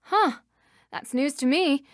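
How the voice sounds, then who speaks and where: A woman's voice: sits high, animated, slow pace, no echo, clean studio sound. A woman playing a polite, inquisitive young female detective in a video game. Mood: friendly.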